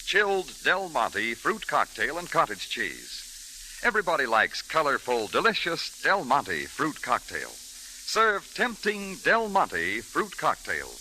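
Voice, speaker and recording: A man's voice: a little high, wildly swooping pitch, slow pace, pushed and bright, a little hiss or hum, poor audio. A man announcing on vintage radio, reading sponsor spots and credits with polished, emphatic salesmanship. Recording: old radio broadcast recording.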